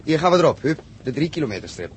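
An actor from the Netherlands, with a Dutch accent, playing a steady pilot and crew leader in a 1960s science fiction radio drama, explaining briskly. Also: male voice, fairly high pitch, slight background noise. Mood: brisk, explanatory.

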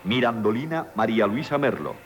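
A man's voice: on the low side, lively intonation, a little fast, highs cut off, faint music behind. A man with a Castilian Spanish accent reading credits formally as a radio announcer.

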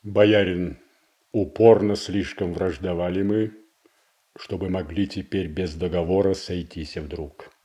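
An actor playing a proud, indignant prince in a classical radio tragedy, declaiming with blunt, weighty deliberation. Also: male voice, low voice, steady tone, a little slow, dark tone. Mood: stern, reproachful. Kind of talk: classical Russian stage tragedy acting for radio.

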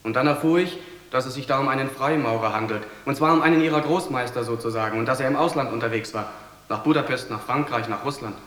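A defendant played by an actor testifies in a radio drama. His medium-pitched voice is measured and explanatory, ringing in an echoey hall with long reverb. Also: fairly bright tone, close to an everyday voice. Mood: earnest. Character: defendant giving careful courtroom testimony. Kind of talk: German radio drama acting.

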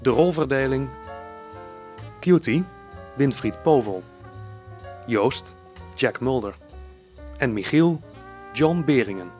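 A man announces the closing credits of a radio play. He reads from a script at a slow pace, in a mid-range voice, with music playing behind him. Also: medium pitch, lively intonation, a little mellow.

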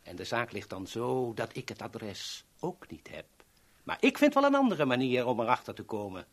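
Suave gunman villain, formal, polite threats, widely varied pitch, radio play. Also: big pitch swings, normal speed. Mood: cold menace. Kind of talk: 1970s Dutch radio drama acting.

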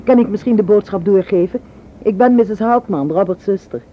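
Radio drama sister, played by a woman: earnest, polite, mid-pitched, expressive, muffled vintage recording. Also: brisk, a little hiss or hum, lightly acted. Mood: polite, curious. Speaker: actress in a radio play. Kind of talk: Dutch radio drama acting.

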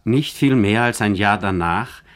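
A man giving scripted literary narration for radio, formal and measured. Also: fairly low pitch.

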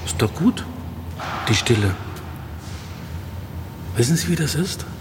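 An actor playing a brooding, cryptic writer in a radio drama, musing aloud and speaking in riddles. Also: male voice, mid-range voice, big pitch swings, slow, noisy background. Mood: melancholy musing. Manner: musing, probing, gives oracular answers. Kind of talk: German radio drama acting.

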